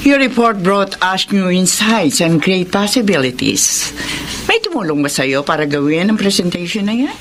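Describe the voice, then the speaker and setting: An actress with a Filipino accent playing an authoritative, approving female company boss in a radio drama, formal and measured. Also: lowish voice, big pitch swings, fairly bright tone, a little hiss or hum.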